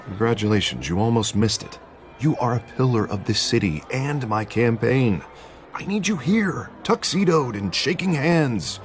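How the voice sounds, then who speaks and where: Guy playing slick american politician in a video game, persuasive n theatrical, really lively pitch, bit of music behind. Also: moderate pace, natural voice. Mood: cajoling. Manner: glib, persuasive, theatrical speechifying. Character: smooth-talking, ambitious male politician on the campaign trail. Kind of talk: video game cutscene acting.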